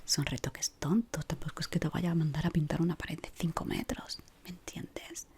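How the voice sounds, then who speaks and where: A woman from whispers softly and without hurry in an ASMR roleplay, gently giving instructions as a decorator guiding a newcomer. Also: deep voice, steady tone. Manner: soft, unhurried, gently instructing.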